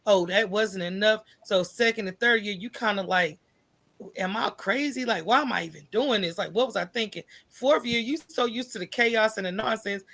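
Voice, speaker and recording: A woman's voice: medium pitch, moderate pace. A middle-aged American woman talking casually as an interview guest, acting out little asides and often checking in with "right?". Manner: animated storytelling, acts out quoted thoughts, often tags phrases with "right?". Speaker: interview guest, coffee shop owner.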